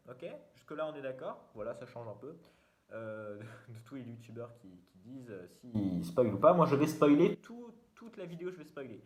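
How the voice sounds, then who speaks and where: A young man, hosting a casual vlog in a rambling, jokey way, full of fillers and restarts. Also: medium pitch. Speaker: YouTuber. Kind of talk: casual self-mocking vlog.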